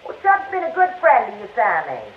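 An actress playing a loving, long-suffering young American wife in a classic radio drama, gently scolding and pleading. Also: female voice, a little high, huge pitch swings, brisk. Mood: soothing. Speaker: actor. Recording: muffled old radio recording, faint noise.

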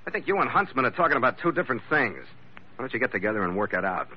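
A man playing a tough, wisecracking sea captain in an old radio adventure. He has a mid-pitched American voice with swinging, animated inflection and a sardonic, clipped delivery. The sound is dull and lo-fi. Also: rapid-fire, close to an everyday voice. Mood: defiant, sardonic. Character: tough, wisecracking ship's captain telling his own adventure. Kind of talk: hard-boiled 1940s-50s radio acting and narration. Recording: dull vintage radio recording.

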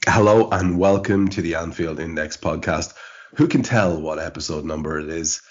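A man's voice: low voice, normal speed, husky. A man hosting a podcast from home, congested and sniffling from allergies, talking in a wry, rambling way full of fillers. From Ireland. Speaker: podcast host. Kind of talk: casual chat. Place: home studio in rural Ireland. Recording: dull lo-fi podcast audio.

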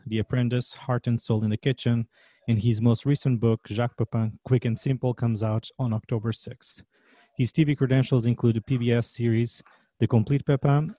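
Portuguese-accented male podcast host, mellow tone, emphatic and upbeat. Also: medium pitch, no room sound. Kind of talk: scripted podcast intro and interview.

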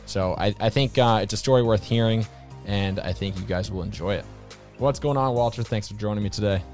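A man's voice: fairly low pitch, fairly quick, darkish tone, soft background music. A man hosting a podcast, enthusiastic and informal, often saying "dude" and "like" as he interviews his guest. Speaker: podcast host.

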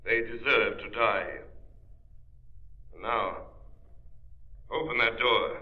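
Guy doing a stern radio hero, slow measured commanding delivery, muffled old recording. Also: mid-range voice, steady tone, close to an everyday voice. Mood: solemn. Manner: measured, authoritative. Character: stern, composed male crime fighter giving orders. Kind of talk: 1930s radio drama acting.

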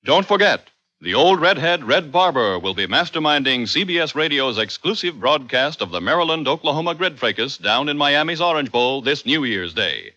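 Male 1950s American radio announcer, crisp sell, mid pitch, animated delivery, dull vintage recording. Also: normal speed.

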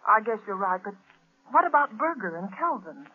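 A woman's voice: medium pitch, big pitch swings, moderate pace, breathy edge. An actress playing the hero's devoted, anxious woman companion in a 1930s radio drama, earnest and pleading. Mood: concerned. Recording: noisy, tinny, narrow-band 1930s radio.

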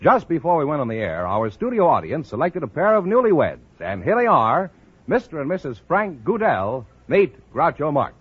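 A man's voice: a little high, sing-song, moderate pace, breathy edge, no echo. A man announcing a 1940s radio show in a grand, showy, scripted delivery. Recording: muffled old radio broadcast. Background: music.

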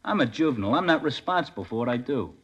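A man's voice: medium pitch, very animated, very fast, fairly bright tone, breathy edge, muffled recording. An actor playing a sarcastic, defiant sixteen-year-old delinquent boy in a 1950s police drama, sneering through his answers.

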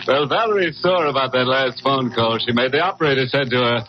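An actor playing a mocking, ghoulish host who cracks morbid jokes in a 1940s radio horror show. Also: male voice, medium pitch, moderate pace, close to an everyday voice. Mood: gleefully macabre. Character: ghoulish wisecracking male host of horror tales. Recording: noisy, muffled old radio recording.

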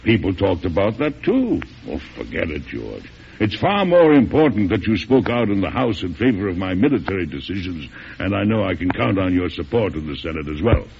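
An actor playing a shrewd, avuncular elderly American president in an old-time radio drama, with a gravelly, husky voice and emphatic delivery. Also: male voice, low-pitched, very animated, a little fast, slight background noise, exaggerated voice. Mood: dismissive, reassuring. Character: folksy, shrewd, strong-willed elderly male US president. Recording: dull, muffled vintage radio recording.

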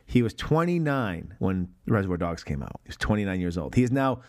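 A man's voice: sits low, big pitch swings, fairly quick, a little mellow. American man, podcast host, laughing easily. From United States. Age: 40s. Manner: mock-preacher intros, laughs often mid-sentence. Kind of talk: casual podcast chat.

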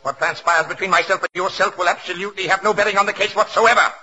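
An actor playing a pompous, exasperated judge who stammers at times, in 1940s radio comedy style. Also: male voice, sits high, fairly even intonation, fast, sharp tone. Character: blustering, biased, self-important male courtroom judge. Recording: noisy, dull vintage 1940s radio recording.

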